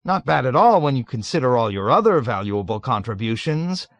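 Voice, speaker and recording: A man voicing a jovial, nervous middle-aged colonel who gushes loudly and is eager to please. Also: mid-range voice, big pitch swings, fairly quick, no echo, voice pushed up. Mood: gushing. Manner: loud, gushing, eager to please.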